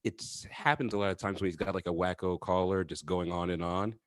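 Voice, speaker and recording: A man's voice: sits low, fairly even intonation, a little fast, no echo. A man co-hosting a podcast, joking around and laughing a lot in casual chat. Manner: jokey, laughs often. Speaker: podcast co-host.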